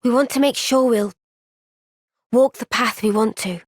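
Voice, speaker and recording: A woman playing an earnest, gentle young soldier heroine in a fantasy video game, soft-spoken, sincere and thoughtful. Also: a little high, unhurried, no room sound, studio quality. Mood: sincere, steady. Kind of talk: video game cutscene voice acting.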